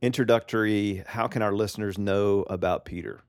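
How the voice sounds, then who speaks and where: A man's voice: on the low side, fairly even intonation, moderate pace, clear, resonant tone, no room sound. A man hosting a church podcast, chatting casually with many "uh"s and restarts in a studio. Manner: friendly, filler-heavy, restarts often. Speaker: podcast host.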